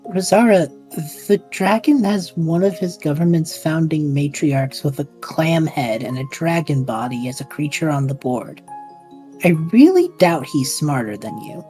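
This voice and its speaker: A woman's voice: deep voice, expressive, measured pace, warm tone, soft background music. A woman playing a bewildered but supportive young man in an audio drama, casual, hesitant and wry.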